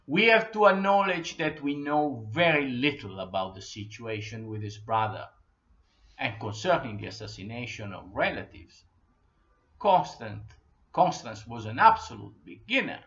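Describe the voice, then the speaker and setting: A man with an Italian accent giving a measured history lecture, pausing and clearing his throat now and then. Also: medium pitch, a bit bright, highs cut off.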